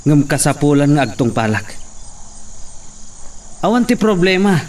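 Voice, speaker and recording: A man's voice: fairly high pitch, big pitch swings, measured pace, a little mellow, far from the mic, slight background noise. An actor with an Ilocano accent playing a defiant, self-justifying farm henchman in a radio drama.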